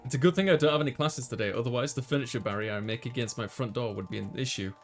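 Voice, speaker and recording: A man's voice: mid-range voice, racing. Man reading a game aloud, music behind. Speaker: let's player. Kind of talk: reading visual novel aloud with casual commentary.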